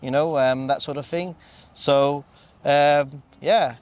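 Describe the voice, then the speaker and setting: A man's voice: fairly high pitch, fairly even intonation, slow pace, a little hiss or hum. A man hosting a travel vlog, chatting eagerly and tripping over his words now and then. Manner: chatty, enthusiastic, fumbles words. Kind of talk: casual travel vlog chat.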